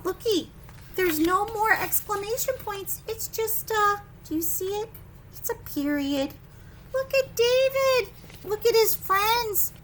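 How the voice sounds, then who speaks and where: A woman leading preschool story time, reading a picture book with simple, expressive asides and questions to young children. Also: extremely high pitch, slow pace, a little hiss or hum, rough recording.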